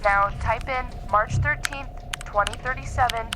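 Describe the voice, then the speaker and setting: A woman playing a calm, commanding rescue leader in a science fiction audio drama. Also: a little high, moderate pace, over music, lightly acted. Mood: urgent, directing.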